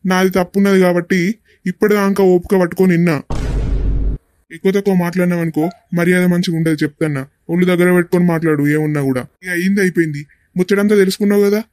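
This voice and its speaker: A man's voice: high-pitched, level tone, rapid-fire, a little mellow, no echo, lightly acted. A man playing a defensive, argumentative villager in a family drama, blunt and warning, with a Telangana Telugu accent. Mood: indignant.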